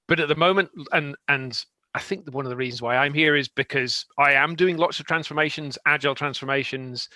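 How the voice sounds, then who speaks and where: A Scottish man with a bright, forceful voice, recorded dry and close. He talks haltingly, with lots of fillers, as a consultant on a video panel. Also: a little high, dry recording. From Scotland. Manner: hesitant, many fillers. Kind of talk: panel discussion. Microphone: video call.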